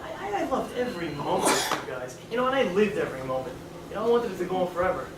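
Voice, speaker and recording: Brash needling teen guy acted by a young man on stage, fast talker, high voice, noisy roomy recording. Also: big pitch swings. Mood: earnest, insistent. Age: young adult. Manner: challenging, insistent. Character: brash, needling teenage best friend who died young. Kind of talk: live stage play acting. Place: small theatre stage, indoors. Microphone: far camera. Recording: noisy, echoey theatre stage from far off.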